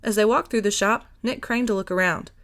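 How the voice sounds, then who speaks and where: A woman's voice: medium pitch, fairly quick, fairly bright tone. A woman reading a story aloud as scripted narration. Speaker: podfic reader.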